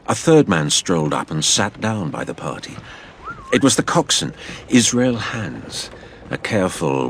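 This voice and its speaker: Storyteller recalling pirate adventure, low pitch, gravelly, measured, dramatised audio, faint background noise. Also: male voice. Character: man recounting a pirate adventure from memory. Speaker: narrator. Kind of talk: scripted dramatic narration.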